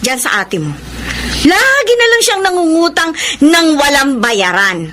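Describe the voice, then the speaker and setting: A Filipino actress playing a suspicious, proud and hurt wife in a radio drama. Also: female voice, sits high, sing-song, unhurried, bright, forceful tone, exaggerated voice. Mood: suspicious, annoyed. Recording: noisy radio drama recording.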